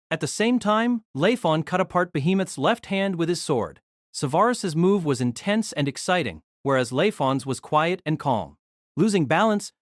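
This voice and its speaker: A man's voice: sits high, no echo, clean studio sound. A man narrating a fantasy novel as a dramatic, scripted audio drama.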